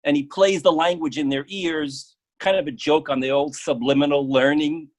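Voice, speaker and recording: A man's voice: a little high, steady tone, normal speed. A middle-aged man speaking casually as an interview guest, often repeating words as he thinks aloud. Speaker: interview guest, playwright and proofreader.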